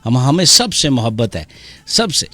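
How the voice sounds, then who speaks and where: A male radio host with a medium-pitched voice, chatting casually and jokingly with listeners. He is playful and talkative, with music playing behind him. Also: very animated, bright, forceful tone. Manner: playful, chatty, breaks into bits of song. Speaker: radio host taking calls and reading messages. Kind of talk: casual, jokey live radio chat. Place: radio studio.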